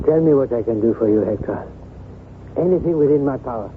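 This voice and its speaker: Through a narrow, lightly hissing vintage radio recording comes a man playing a loyal gentleman confidant. His voice is mid-pitched, and he speaks with earnest, formal emphasis and open sympathy. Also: animated, a little fast, natural voice. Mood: concerned, earnest. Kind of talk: old-time radio drama acting. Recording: noisy, narrow-band vintage radio broadcast.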